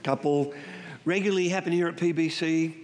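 A man's voice: a little high, steady tone, fairly quick, darkish tone. A man with an Australian accent and a cold, preaching a sermon and reading scripture with self-deprecating humor. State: has a cold. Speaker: guest preacher. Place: church sanctuary. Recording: long hall reverb.

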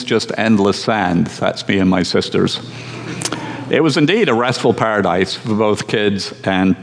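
A man from Scotland, preaching a sermon as pastor in a measured, conversational way with dry humor. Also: medium pitch, big-room sound. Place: church.

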